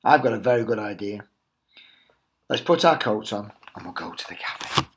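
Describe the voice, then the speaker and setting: A man's voice: fairly low pitch, lively intonation, moderate pace, heavy background noise, pitched below the performer's own voice, natural voice. Man reading as a decisive storybook daddy. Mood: cheerful. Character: decisive, kindly father in children's story.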